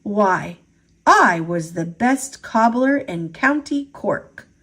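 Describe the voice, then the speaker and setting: A woman's voice: mid-range voice, lively intonation, takes it slowly, a bit bright, slightly rough, heavily acted. A woman voicing a small, crafty male leprechaun cobbler from a storybook, speaking in folksy turns of phrase. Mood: grumbling, boastful.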